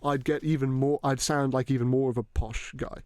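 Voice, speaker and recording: A man's voice: mid-range voice, fairly even intonation, a little mellow, no room sound. A young man with a British accent, hosting a casual podcast chat, rambling and swearing loosely. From Hong Kong. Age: young adult. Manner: rambling, swearing, quick laughs. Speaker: podcast host.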